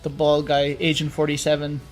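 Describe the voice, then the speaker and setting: A man's voice: on the high side, monotone, normal speed, soft background music. A man co-hosting an informal, conversational chat about games and films. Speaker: podcast co-host.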